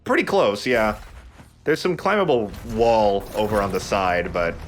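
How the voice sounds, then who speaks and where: Low-voiced man doing casual game commentary. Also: big pitch swings, unhurried, a little hiss or hum. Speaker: let's play host playing the game. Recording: game music behind the voice. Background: video game music.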